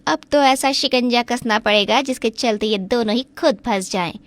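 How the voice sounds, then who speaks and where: A woman's voice: a little high, moderate pace, natural voice. A woman voicing a spiteful, scheming young married woman in a cartoon story, theatrical and nagging. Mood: sly scheming. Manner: theatrical, scolding and falsely pleading. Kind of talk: Hindi cartoon story acting.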